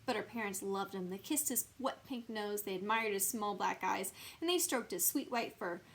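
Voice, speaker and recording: A woman reading a bedtime story aloud in an expressive, playful way, with asides to the listener. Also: medium pitch, moderate pace, husky.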